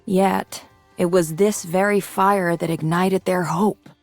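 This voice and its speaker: Ch'en, a low, unhurried, resolute swordswoman. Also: fairly even intonation, creaky, a little mellow, no room sound, faint music behind, heavily acted. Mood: solemn, reflective. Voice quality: mature. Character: resolute mature swordswoman operator, calm and idealistic. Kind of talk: scripted video game character lines.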